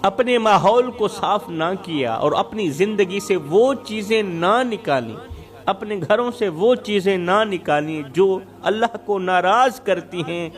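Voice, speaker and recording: High-pitched, dramatic male preacher over music. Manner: dramatic, rhetorical, repeats phrases for emphasis. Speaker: Islamic preacher and storyteller. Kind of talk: emotive Urdu religious sermon with moral storytelling. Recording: background music under the voice.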